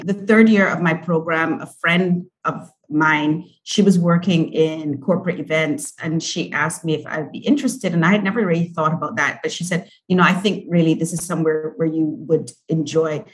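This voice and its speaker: A woman's voice: deep voice, rough recording. This is a woman. She speaks as an interview guest, giving a personal account in a conversational style. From Barbados. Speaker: podcast guest, corporate event organizer.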